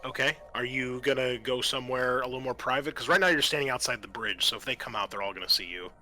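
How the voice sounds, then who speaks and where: A man acting as game master of a tabletop roleplaying game, talking in a halting, conversational and accommodating way. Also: mid-range voice, pushed and bright, soft background music.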